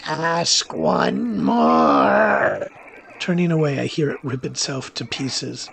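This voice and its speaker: A man's voice: medium pitch, big pitch swings, takes it slowly, creaky, fairly bright tone, a little hiss or hum, exaggerated voice. A man acting as a sardonic warlock on the run, narrating an audio drama in a theatrical, literate, wry manner. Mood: grim, menacing.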